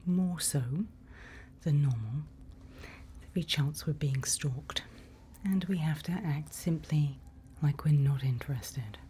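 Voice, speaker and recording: A woman playing a calm forest night-watch guide in an ASMR roleplay, whispering soothing, unhurried instructions close to the microphone. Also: low voice, a little hiss or hum.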